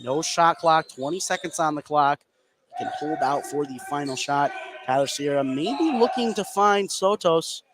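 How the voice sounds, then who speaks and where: An American man doing live play-by-play basketball commentary on the radio, with excited calls of the action. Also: fairly high pitch, normal speed. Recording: live game broadcast with clear background noise. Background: sports venue noise.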